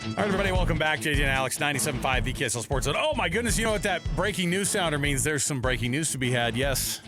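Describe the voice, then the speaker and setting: An American man hosting live sports talk radio, casual, animated and slangy, on a close studio microphone. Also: fairly high pitch, rapid-fire, music in the background. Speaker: sports radio show host.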